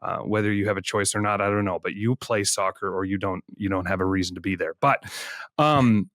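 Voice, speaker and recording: A man's voice: low-pitched, fairly quick, a bit bright, no echo, studio quality. Enthusiastic chatty male podcast host. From Netherlands. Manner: chatty, enthusiastic, self-deprecating. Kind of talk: casual interview chat.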